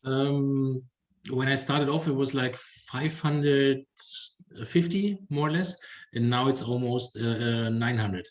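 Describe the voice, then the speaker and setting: A man's voice: mid-range voice, slow pace. Hesitant man on a call. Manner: hesitant, many fillers. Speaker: German tutor, podcast guest. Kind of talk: casual video call chat.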